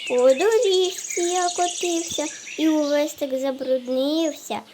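A woman's voice: sits high, unhurried, noisy background. A woman voicing a cheerful little round bread bun in a cartoon, chanting rhymes in a sing-song way, with birds chirping behind. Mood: pleading. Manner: sing-song rhyming boasts.

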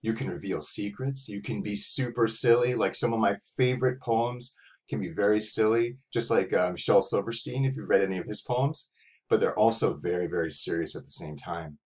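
A man's voice: fairly low pitch, a little fast. A middle-aged man giving a casual, conversational writing lesson as an author and teacher, recorded indoors at a computer. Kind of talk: casual instructional talk to young writers. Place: small room at home, indoors.

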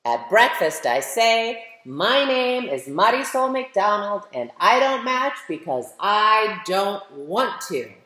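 A woman's voice: mid-range voice, very animated, slow, few pauses, bright, forceful tone. A woman of fifty reading a picture book aloud expressively, speaking directly to young listeners with questions and emphasis. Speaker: children's author reading aloud. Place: living room at home, indoors. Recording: roomy indoor webcam echo.

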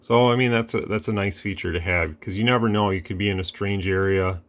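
A man hosting a casual radio demo at his workbench, talking in a relaxed, plain-spoken way with rambling asides. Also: low-pitched, brisk. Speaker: radio repair channel host.

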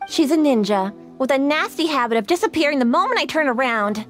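A woman's voice: high-pitched, lively intonation, faint music behind. A woman voicing a polite, dutiful young shrine maiden who speaks formally. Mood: composed. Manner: formal, courteous, measured. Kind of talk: game voice acting.

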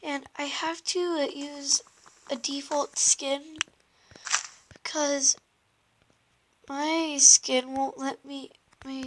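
A woman with a high, scratchy voice from a sore throat talks slowly through her game, pausing on ums and slipping into soft whispers. Heavy background hiss and tapping clicks sit under the recording. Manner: hesitant, many ums, drifting into soft whispers. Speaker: gameplay commentator. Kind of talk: casual game commentary.

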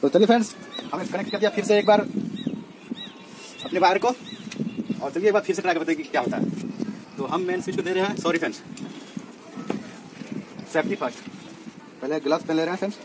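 Chatty young man over loud outdoor noise. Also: high voice, fast, long pauses. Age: young adult. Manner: chatty, keeps addressing viewers as friends. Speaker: DIY experiment vlogger. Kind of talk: casual step-by-step demo narration.

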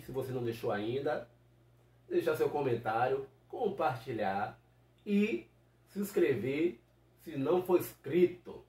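A man's voice: on the high side, a little mellow. A man in his forties with a Brazilian accent, a channel host talking casually to viewers in a small room at home. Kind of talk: casual vlog outro. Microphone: camera a few feet away. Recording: roomy, distant microphone.

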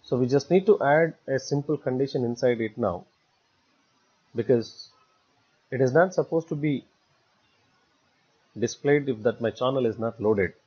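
A man narrating a step-by-step software tutorial in a halting way, repeating words as he goes. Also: mid-range voice, breathy edge. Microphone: voice-over recorded for screen capture. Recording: dull, muffled lo-fi voice recording.

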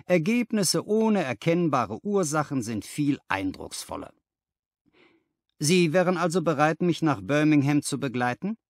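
A man voicing a keen, self-assured gentleman detective in an audiobook, bright and forceful, with a genteel, analytical manner. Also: fairly high pitch, big pitch swings, a little slow, no room sound, clean studio sound, pitched above the performer's own voice, exaggerated voice. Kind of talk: audiobook character reading.

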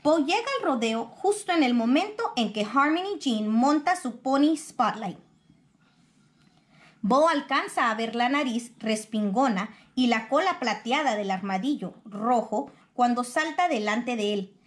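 Mujer de voz aguda leyendo un cuento. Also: female voice, a little high, expressive, unhurried. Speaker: picture book reader. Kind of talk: expressive children's storybook narration.

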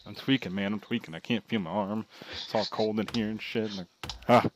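A man's voice: on the low side, steady tone, brisk, heavy background noise. A young man chatting casually and telling rambling jokes while playing a game with a friend. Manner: joking, rambling storyteller. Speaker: gamer. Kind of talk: casual co-op gaming banter.

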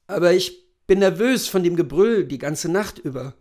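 A man's voice: high voice, animated, moderate pace, darkish tone, pitched above the performer's own voice. A man reading a story, voicing a nervous, irritable husband who is new to hunting and complains fretfully. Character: nervous, irritable husband, a novice hunter on safari.

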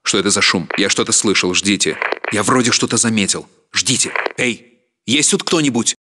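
An actor playing a wary Russian soldier on patrol in a video game, speaking in terse, watchful lines. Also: male voice, on the low side, expressive, normal speed, bright, forceful tone, breathy edge, full character voice. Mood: wary, suspicious. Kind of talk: video game guard chatter.

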